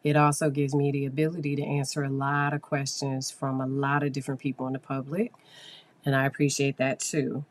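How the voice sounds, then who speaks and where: A hoarse woman hosting a psychology podcast, talking casually and explaining things with fillers. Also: rumbling low, completely flat delivery, brisk. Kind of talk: casual solo podcast talk.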